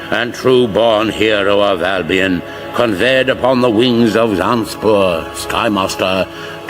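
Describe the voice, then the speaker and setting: An actor playing a grave, portentous narrator of a mock epic, declaiming solemnly and deadpan for radio comedy. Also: male voice, low-pitched.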